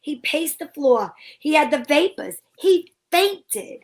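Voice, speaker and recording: A woman's voice: high voice, big pitch swings, takes it slowly. A woman performing and narrating an old-time radio detective show in a teasing, wry, theatrical manner.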